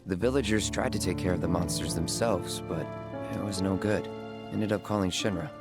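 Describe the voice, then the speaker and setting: Low-voiced, aloof young mercenary, terse. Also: male voice, normal speed. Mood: somber recounting. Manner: terse, understated. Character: aloof young male mercenary ex-soldier, reticent and guarded. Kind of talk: video game cutscene acting. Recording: music behind the voice.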